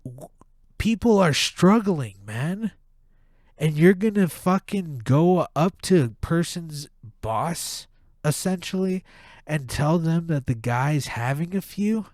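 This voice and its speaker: Nasal, stuffed-up man ranting slowly. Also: fairly high pitch, expressive, a little mellow, dry recording. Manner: profane, heated ranting. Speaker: podcast host. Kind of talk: casual ranting monologue.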